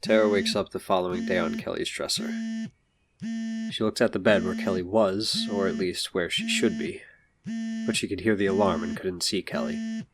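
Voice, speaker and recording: A man's voice: deep voice, animated, normal speed, a loud crowd. A man delivering scripted narration as the narrator of an audio drama.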